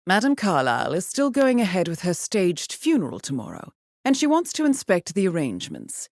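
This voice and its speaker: Game intelligence handler: cool, measured female voice, slightly deep, expressive inflection, understated irony, briefing an assassin. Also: no room sound. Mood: crisp briefing. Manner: composed, measured, dryly ironic. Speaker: mission handler. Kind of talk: scripted video game briefing.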